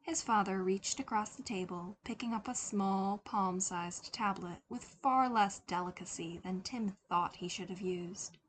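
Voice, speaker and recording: A woman's voice: medium pitch, unhurried, few pauses. A woman giving a scripted narration of a fiction story as a podfic reader. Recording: faint background noise.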